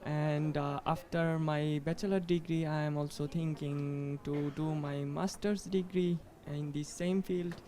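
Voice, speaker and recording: Mellow-voiced young man from Bangladesh. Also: a little high, flat delivery. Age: young adult. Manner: halting, with many fillers. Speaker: student.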